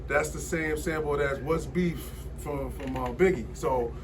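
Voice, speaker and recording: Forties male guest, rambling and filler-heavy, a bit high-pitched, recorded from a distance with noise. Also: a little slow. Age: 40s. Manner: rambling storyteller, many fillers and restarts. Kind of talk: casual studio conversation.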